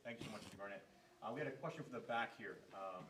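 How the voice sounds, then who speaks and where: A man moderating a candidates' debate, calling on speakers in a quick, informal way. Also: lowish voice, far from the mic, very noisy. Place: mosque hall.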